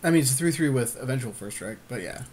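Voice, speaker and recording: Regular mid pitched guy co-hosting game commentary, short agreeable comments, a bit noisy recording. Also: expressive, fast talker, darkish tone. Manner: agreeable, brief interjections. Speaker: podcast co-host. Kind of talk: casual game commentary with a co-host.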